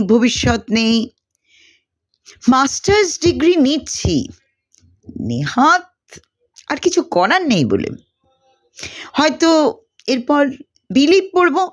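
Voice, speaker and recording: A woman reader voices a gloomy young male student in a story. The delivery is slow and self-mocking, bitter in temper, with pitch that rises and falls widely. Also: full character voice.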